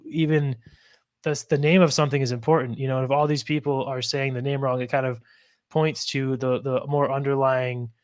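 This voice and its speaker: A man's voice: mid-range voice, no echo. Friendly podcast host man, dry close sound. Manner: friendly, affirming, says "man" and "you know". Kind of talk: casual interview talk.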